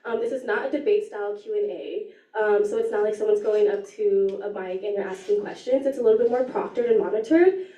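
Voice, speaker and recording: A young woman in student government, her voice a little raspy, giving an earnest announcement at a meeting with frequent ums. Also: mid-range voice, a little fast, warm tone, dull audio. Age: young adult. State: slightly raspy voice. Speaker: student government chief of staff. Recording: echoey room, distant mic.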